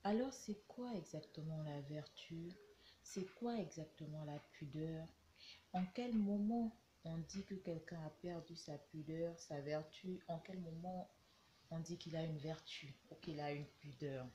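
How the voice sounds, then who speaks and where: Slow, low-voiced woman with a cold. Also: mellow, dull audio, slight background noise. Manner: slow, reflective, with hesitations and repeated words. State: has a cold. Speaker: vlogger giving advice. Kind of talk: casual talk to camera.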